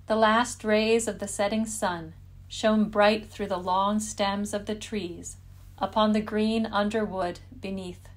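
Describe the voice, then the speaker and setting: A woman narrating a fairytale in measured, deliberate storybook phrasing. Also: mid-range voice.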